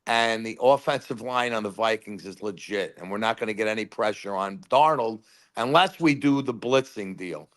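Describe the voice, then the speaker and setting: A man calling in to a sports talk show, telling loud, rambling fan stories and giving his game predictions. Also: fairly low pitch, normal speed. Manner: rambling, animated storyteller. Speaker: caller. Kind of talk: casual phone-in chat.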